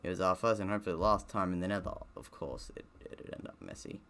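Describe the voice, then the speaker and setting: Low, gravelly man reading a game aloud. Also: lively intonation, fast, a bit bright, a little hiss or hum. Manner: stumbling reader with offhand, self-deprecating asides. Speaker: gaming streamer. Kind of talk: reading a visual novel aloud with casual commentary.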